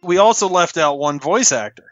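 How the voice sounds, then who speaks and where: A man with a loud voice telling anecdotes in casual, excitable chat as a podcast guest. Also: on the high side, normal speed, heavy background noise. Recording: dull, lo-fi microphone.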